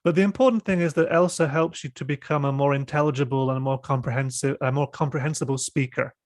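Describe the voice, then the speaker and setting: Brisk, dry-sounding male interviewer. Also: mid-range voice, steady tone, no room sound. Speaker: host, interviewer. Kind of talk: interview questions.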